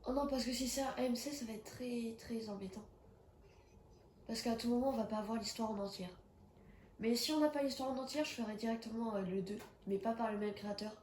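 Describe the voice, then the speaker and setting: A woman's voice: on the high side, fairly even intonation, fairly quick, darkish tone, echoey room, slight background noise. A young woman with a cold, chatting casually and reacting with jumpy exclamations as she plays a horror game. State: has a cold. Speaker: gaming streamer. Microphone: phone.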